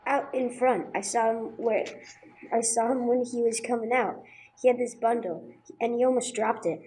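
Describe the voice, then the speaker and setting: Woman playing an earnest, high-voiced schoolboy. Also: steady tone, normal speed, dark tone, slight background noise, natural voice, poor audio. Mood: earnest, unsure. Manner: hesitant, plain-spoken. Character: earnest, respectful schoolboy altar server. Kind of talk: 1950s radio drama acting, amateur church production.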